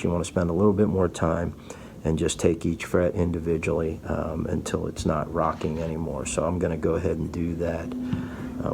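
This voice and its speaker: Deep, gravelly man explaining casually. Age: 50s. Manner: folksy, patient step-by-step explaining. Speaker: guitar repair technician presenting a how-to. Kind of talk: casual workshop tutorial. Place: small indoor guitar workshop. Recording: faint background room noise.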